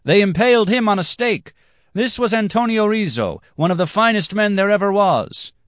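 A shrill, scornful young dancer. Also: male voice, expressive, normal speed, a bit bright, dry recording, clean studio sound.

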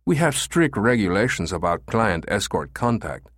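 A man's voice: fairly low pitch, big pitch swings, moderate pace, fairly bright tone, no echo, studio quality. A man voicing a careful, polite businessman in an audiobook story, speaking in a formal and guarded manner. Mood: firm, dismissive. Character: careful, smooth Spanish escort agency manager, a man.

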